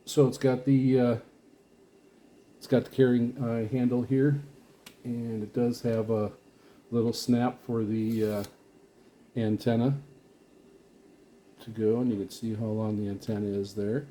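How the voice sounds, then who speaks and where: Guy doing a casual unboxing review, soft mellow voice, mid pitch, kinda rambly and keeps restarting his sentences. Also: level tone, slight background noise. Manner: halting, rambling, repeats and restarts phrases often. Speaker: video host reviewing radio gear. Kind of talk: casual unscripted unboxing commentary.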